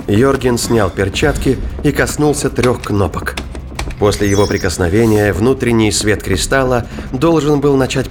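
A man's voice: fairly low pitch, background noise. A man narrating scripted science fiction thriller in a dramatic audiobook style.

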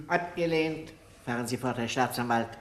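A man's voice: mid-range voice, moderate pace, echoey room, slight background noise, close to an everyday voice. A man playing a curt, stern judge. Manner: curt, authoritative rulings. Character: stern male judge presiding over a murder trial.

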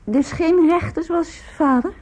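An actress playing an ailing middle-aged mother in a radio drama, husky and breathy, halting and gently evasive. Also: extremely high pitch. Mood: wistful, weary.

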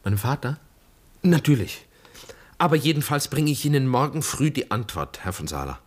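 An actor playing a serious, searching son and brother in a German radio drama, earnest, polite and probing. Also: male voice, mid-range voice, very animated, normal speed. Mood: reserved, polite.

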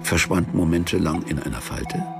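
A man playing the sombre, detached narrator of a radio play, reading literary prose deliberately in a gravelly voice. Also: sits low, fairly even intonation, over music. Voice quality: gravelly, creaky. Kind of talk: scripted narration.

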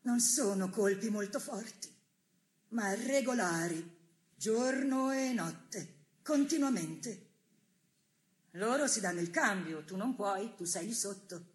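Slow, steadfast imprisoned dissident. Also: female voice, mid-range voice, animated. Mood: grave, haunted. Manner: deliberate, measured, solemn. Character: worn, steadfast imprisoned woman dissident, gentle, principled. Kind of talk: stylized stage theatre monologue and dialogue.

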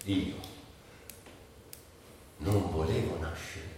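A man's voice: deep voice, very slow, darkish tone. An actor playing a bitter, sardonic, tormented man confined by illness in a theatrical monologue, volatile between muttering and declaiming. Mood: sullen contempt. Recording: very echoey, distant, faint noise.

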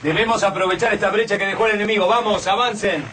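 A man's voice: high-pitched, steady tone, fast talker, close to an everyday voice. A man playing an overconfident, commanding military major in a radio drama, clipped and pompous. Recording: distant mic, clear background noise.

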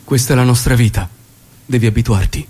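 An actor as a resolute revolutionary doctor. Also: male voice, medium pitch, brisk, darkish tone, slightly rough, close to an everyday voice. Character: Che, resolute revolutionary doctor and loving husband. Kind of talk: theatrical radio drama dialogue.

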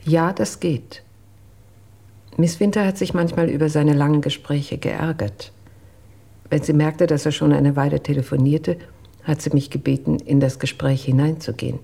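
An actress playing a reserved, dutiful household employee who recounts events in a measured, careful way in a radio drama, with a husky voice. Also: female voice, sits low, steady tone, mellow, exaggerated voice. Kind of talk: German radio drama acting.

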